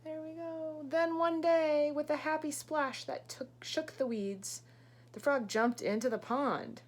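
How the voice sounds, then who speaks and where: A woman teacher reading a picture book aloud expressively, pausing to ask young listeners questions and explain things. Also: high voice, fairly even intonation.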